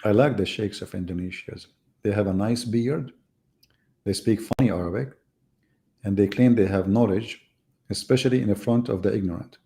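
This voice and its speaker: Gravelly, mocking man on a live debate. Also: on the low side, moderate pace, mellow. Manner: mocking, sarcastic rhetorical questions. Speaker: debate host. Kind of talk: live online debate monologue.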